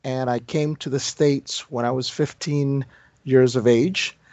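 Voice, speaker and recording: A man's voice: medium pitch, steady tone, measured pace, a little mellow, no room sound. Man in his thirties, dull dry audio. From Indonesia. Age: 30s. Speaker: guest entrepreneur. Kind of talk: interview conversation.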